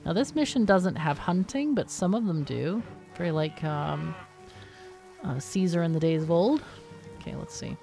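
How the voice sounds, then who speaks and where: A woman's voice: lowish voice, lively intonation, moderate pace, gravelly, mellow, faint music behind. A woman gives casual, unscripted game commentary, thinking aloud and asking herself questions as she plays. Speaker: gaming video host.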